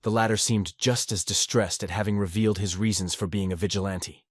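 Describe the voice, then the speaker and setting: Brief: male podfic narrator, low voice, steady measured read, dry close sound. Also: no room sound. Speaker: audiobook narrator. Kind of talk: fanfiction audiobook narration.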